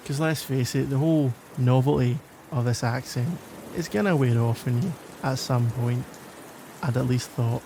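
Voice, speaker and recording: A man's voice: mid-range voice, normal speed, noisy background, natural voice. A man with a Glaswegian accent playing a flirty, grumbly stranger in a conversational ASMR roleplay, wry and self-deprecating. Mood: teasing, wry. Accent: Glaswegian Scottish.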